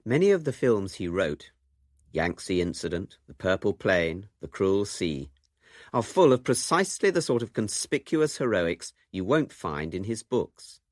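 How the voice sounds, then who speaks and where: Deep-voiced man narrating a documentary. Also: very animated, a little slow, no room sound, clean studio sound. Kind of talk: scripted radio documentary narration.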